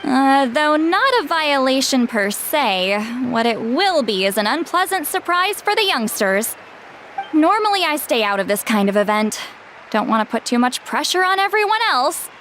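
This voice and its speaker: A wry confident fire leader. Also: female voice, sits high, fairly bright tone, a little hiss or hum. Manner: dry, wry, teasing. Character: confident powerful fiery woman leader. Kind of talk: game voice acting. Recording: game audio with music.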